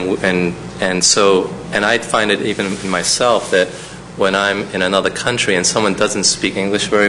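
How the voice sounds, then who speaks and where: A man's voice: lowish voice, bright, forceful tone, slight background noise, low-quality recording. A man whose first language is Chinese, speaking reflectively as a panelist.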